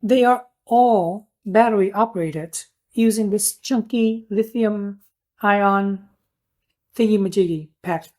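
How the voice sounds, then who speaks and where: A woman's voice: mid-range voice, slow pace, darkish tone. A woman giving a casual hands-on product review, explaining things in an informal, plain-spoken way.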